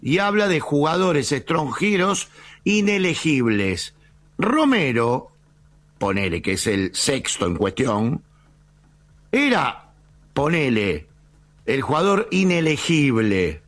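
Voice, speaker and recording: Animated Argentine man arguing legal points. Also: fairly high pitch. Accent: Argentine Spanish. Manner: argumentative, measured, interrupts to make legal points. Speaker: sports talk panelist. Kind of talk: heated football debate.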